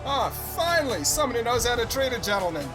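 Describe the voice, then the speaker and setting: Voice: medium pitch, sing-song, fast, close to an everyday voice. An actor playing a pompous, vain squad commander in an audio drama, speaking in a grandiose, commanding way. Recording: music behind the voice.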